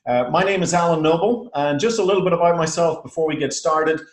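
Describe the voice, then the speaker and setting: A man's voice: on the high side, fast, roomy sound. An upbeat man with an Irish accent, giving a rehearsed, persuasive sales presentation on a call and often saying "uh" and "okay?". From Ireland.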